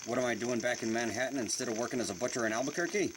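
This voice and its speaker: A wisecracking, menacing gangster , acted by a man in the manner of 1940s radio crime drama. He talks in tough street slang with a sneer, over a clearly noisy, sizzling recording. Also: medium pitch, very fast, lightly acted. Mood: cocky. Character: tough, sneering 1940s New York mobster with a gun.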